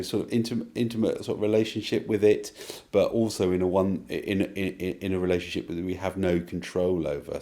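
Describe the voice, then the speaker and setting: A man's voice: sits low, dark tone. A man giving a reflective interview answer, thoughtful and hesitant as he circles through rephrasings.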